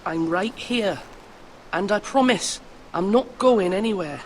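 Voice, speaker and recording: An actor playing a gentle, youthful teenage lord in a fantasy game, with a soft-hearted manner. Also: male voice, sits high, expressive, unhurried, slight background noise. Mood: reassuring. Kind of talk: dramatic fantasy game acting.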